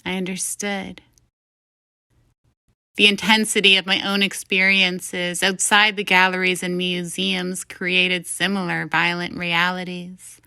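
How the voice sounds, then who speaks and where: A woman's voice: fairly low pitch, little pitch movement, a little slow, bright, forceful tone, clean tone, no echo. A woman reading a first-person short story as scripted narration. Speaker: story reader.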